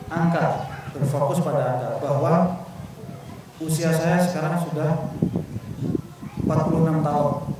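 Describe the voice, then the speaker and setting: A man, a brigade commander, giving a formal anniversary speech at a ceremony, deliberate and pausing often with fillers. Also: fairly high pitch. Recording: very echoey, distant mic, faint room noise.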